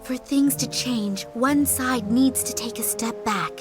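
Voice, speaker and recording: An actress playing a composed woman ruler who speaks calmly, gravely and persuasively. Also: a little high, slow pace, over music. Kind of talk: dubbed video game cutscene.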